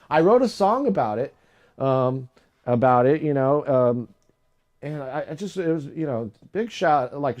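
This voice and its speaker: A young adult man hosting a livestream, chatting casually and rambling with lots of fillers and restarts, his voice low today. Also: warm tone. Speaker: live stream host.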